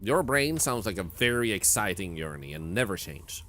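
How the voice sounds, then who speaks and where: A man's voice: lowish voice, big pitch swings, fairly bright tone, slight background noise. A man from Sweden with a Swedish accent, speaking English as a second language while joking with chat during casual gameplay commentary. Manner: joking, teasing chat, quick to laugh. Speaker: streamer.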